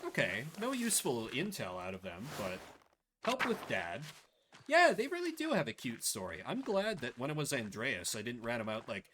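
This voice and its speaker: A male streamer thinks aloud during a game playthrough in a chatty, casual way. His mid-pitched voice moves around a lot, and there is clear noise in the background. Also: huge pitch swings, normal speed, a bit bright.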